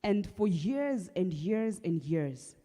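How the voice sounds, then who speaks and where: A young Kenyan woman with a husky voice, earnestly telling her personal story at an awareness event, with halting restarts. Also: on the low side, sing-song, dark tone. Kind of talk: personal testimony talk. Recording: long hall reverb.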